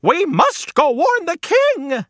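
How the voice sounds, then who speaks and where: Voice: sing-song, slow, fairly bright tone, no room sound, studio quality, full character voice. A storyteller voicing a panicky hen who shrieks in alarm that the sky is falling. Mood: frantic. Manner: shrill frantic squawking.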